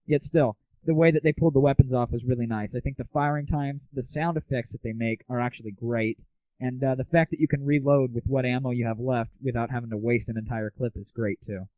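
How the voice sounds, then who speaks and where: A man's voice: steady tone, brisk, no room sound. Young American male host, sarcastic and chatty, dry phone-like lo-fi sound. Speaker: host of an online gaming audio show. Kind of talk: casual comic rant and game review. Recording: tinny, phone-like low-bandwidth audio, very dry.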